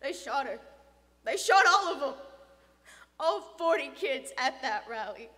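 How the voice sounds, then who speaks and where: A woman voicing a stern, suspicious, grieving middle-aged father in an audiobook, forceful and emotional. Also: on the high side, animated, measured pace, long reverb tail. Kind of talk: dramatic audiobook character acting.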